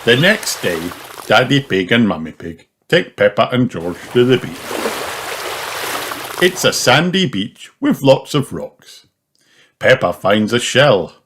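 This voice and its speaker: A storyteller reading a children's picture book aloud in an expressive, exaggerated way. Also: mid-range voice, slow pace. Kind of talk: animated scripted narration of a picture book. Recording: clearly noisy recording with clicks.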